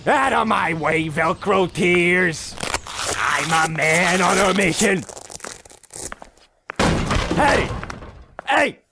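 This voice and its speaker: Guy doing a cranky proud husband, high voice all over the place, bombastic old-timey radio comedy. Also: animated, extremely slow, vocal fry, pauses often, noisy background, heavily acted. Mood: indignant, determined. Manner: indignant, bombastic. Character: blustery, touchy, clueless husband, cranky and proud. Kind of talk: comic old-time radio play acting.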